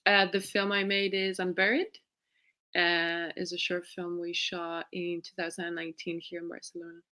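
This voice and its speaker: Bright-voiced Spanish-raised woman director. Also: lowish voice, monotone, moderate pace. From Spain. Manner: reflective, rambling with restarts and soft laughs. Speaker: film director, guest. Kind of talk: festival Q&A interview over video call.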